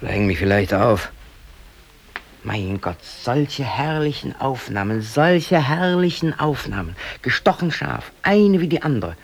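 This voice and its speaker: A man's voice: mid-range voice, lively intonation, measured pace, creaky, slightly rough, exaggerated voice. An actor playing an aggrieved East German worker and amateur photographer in his late thirties, delivering a rambling, brooding radio drama monologue. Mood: bitter, agitated, then rapturous. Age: late 30s. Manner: brooding, rambling, swinging between muttering and outbursts.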